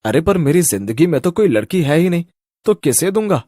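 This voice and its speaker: An actor playing a naive, lovestruck young man with a husky voice and an earnest, wondering way of talking in a horror story. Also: a little high, sing-song, a little fast, warm tone, no echo, studio quality. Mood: bemused.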